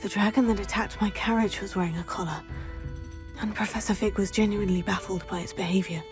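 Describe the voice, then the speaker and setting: A woman plays a teenage witch student in a fantasy video game. Her voice is medium in pitch, composed and polite. She sounds earnest, and music plays faintly behind her. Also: fairly even intonation, a little fast, lightly acted. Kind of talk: scripted video game acting.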